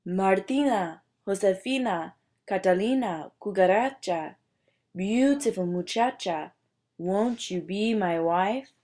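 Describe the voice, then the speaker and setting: A woman's voice: mid-range voice, takes it slowly, warm tone. A woman voicing an oily, creeping male lizard suitor in a storybook, with a hissing, slimy manner. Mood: slimy, wooing.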